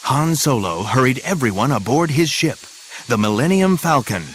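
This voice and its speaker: A man's voice: medium pitch, animated, moderate pace, a little hiss or hum. A man narrating an adventure audio drama in a scripted, dramatic storytelling style. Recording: music behind the narration.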